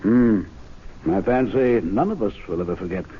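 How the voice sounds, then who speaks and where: An actor playing a courteous, quietly probing gentleman in a radio drama, polite and deliberate. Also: lowish voice, natural voice. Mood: reflective. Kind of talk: old-time radio drama acting. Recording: dull hissy vintage radio recording.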